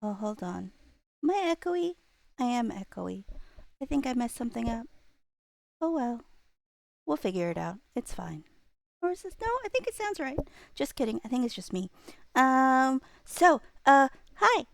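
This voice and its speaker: A woman streamer talks casually to her viewers with plenty of vocal fry. She thinks out loud in a scattered, playful manner, and the sound is close and dry. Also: high voice, sing-song, moderate pace, long pauses, no room sound. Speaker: live streamer host. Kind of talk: casual livestream chat.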